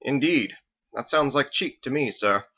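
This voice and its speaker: A man's voice: mid-range voice, a little slow, bright, forceful tone, highs cut off, pitched above the performer's own voice. A man voicing a pompous, verbose, prim young paladin churchman in an audiobook, with florid, fussy, over-formal diction. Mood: indignant. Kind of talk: audiobook character voice.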